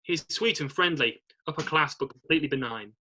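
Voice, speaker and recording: A male narrator reads scripted stage directions aloud. His voice is fairly high and forceful, with a husky, rough edge. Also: fairly quick.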